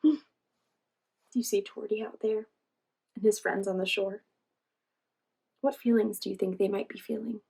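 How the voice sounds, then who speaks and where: A woman reading a children's storybook aloud as host, pausing in a teacherly way to guide young listeners. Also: medium pitch, steady tone, creaky, dark tone, slightly rough. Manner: teacherly, asks listeners questions and guides them.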